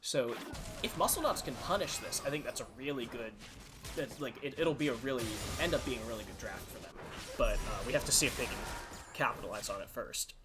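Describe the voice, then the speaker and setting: A man casting an esports match with relaxed, hesitant commentary and easy laughter. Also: medium pitch, a little fast, over music. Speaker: esports caster. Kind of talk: play-by-play commentary. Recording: faint background noise.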